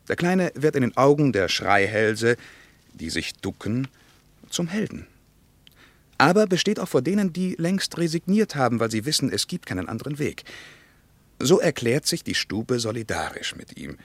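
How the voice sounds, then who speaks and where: Intense storyteller in a radio play, really up and down delivery, emphatic, no room sound. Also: male voice, mid-range voice, big pitch swings, normal speed, no room sound. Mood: grave, intense. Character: impassioned male storyteller recounting army injustice, earnest and intense. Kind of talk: dramatic radio play monologue.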